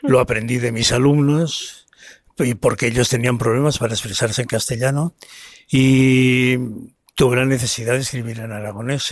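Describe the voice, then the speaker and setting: A man's voice: mid-range voice, no room sound, clean studio sound. A man with an accent from Spain giving unscripted interview answers, often hesitating and rephrasing. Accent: Spanish from Spain. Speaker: writer and historian being interviewed.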